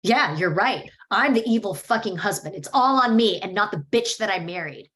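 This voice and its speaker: A woman's voice: medium pitch, expressive, moderate pace, a bit bright, natural voice. A woman reading the lines of an angry, bitter husband in a script table read, snarling and swearing. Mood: bitter rage. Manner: snarling, profane outburst.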